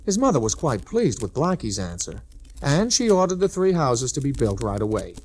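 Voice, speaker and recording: Animated male storybook narrator. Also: mid-range voice, normal speed. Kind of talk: scripted 1960s children's storybook narration. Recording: noisy old 1960s children's record.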